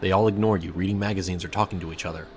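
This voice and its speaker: A man's voice: low voice, fairly even intonation, rapid-fire, a little mellow, slight background noise. A man reading a scripted second-person story aloud as its narrator.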